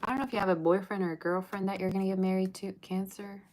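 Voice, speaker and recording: A woman's voice: mid-range voice, flat delivery, quick pace, darkish tone, a little hiss or hum. A woman giving a livestream tarot reading, chatty and teasing, reading out viewer comments. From Russia. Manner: chatty, teasing, sings snatches of old songs. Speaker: tarot reader.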